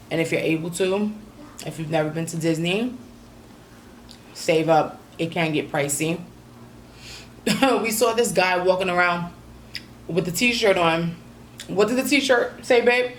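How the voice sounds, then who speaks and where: A woman catches her viewers up on her trip in a loose, rambling vlog style, filling gaps with ums and you-knows. Her voice sits on the lower side and sounds a little hoarse. A faint hum runs underneath. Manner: relaxed, chatty, many ums and you-knows. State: slightly hoarse. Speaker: vlogger. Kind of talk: casual vlog recap.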